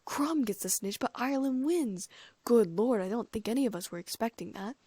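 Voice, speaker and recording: A woman voicing an excitable, booming male sports announcer calling a match in an audiobook story. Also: normal speed, no echo. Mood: astonished excitement.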